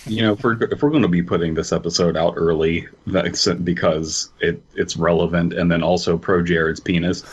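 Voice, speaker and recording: A husky man with a low voice, heard through a slightly dull, lo-fi microphone. He sounds like a podcast co-host reading and joking casually. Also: steady tone, moderate pace, hardly any pauses. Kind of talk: casual comedic chat and story reading.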